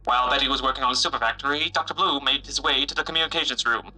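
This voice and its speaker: Energetic sci-fi serial narrator, male: fast, sharp, punchy delivery. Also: medium pitch, rough recording. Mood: brisk.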